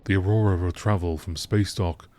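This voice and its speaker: A man's voice: sits low, fairly even intonation, moderate pace, darkish tone. A man reading scripted lore text aloud as a narrator, in a nature documentary style.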